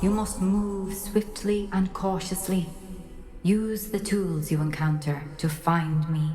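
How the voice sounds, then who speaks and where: Solemn mysterious teacher guide in a game, measured and formal, echoey, soft music behind. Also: female voice, fairly low pitch, fairly even intonation. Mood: solemn, cautioning. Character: solemn, cryptic, wise female professor guiding a student. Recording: reverberant voice with faint music.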